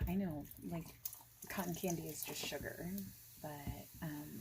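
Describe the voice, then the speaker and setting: A woman gives a soft, breathy ASMR performance, slipping often into whispers. She speaks very slowly, with a gravelly fry at the edges, in a gentle, informal manner. Clear background noise and clicking sit behind her. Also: on the low side. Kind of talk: soft-spoken, whispered ASMR roleplay as a friendly boss chatting informally. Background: clicking of keyboard and small handled objects.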